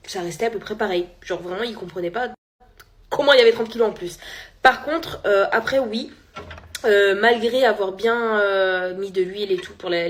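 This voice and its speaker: A young woman, a new mother, chatting candidly to her followers with lots of fillers, sometimes dropping her voice low. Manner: chatty and candid, many fillers, drops to a whisper at times. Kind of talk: casual chat to followers.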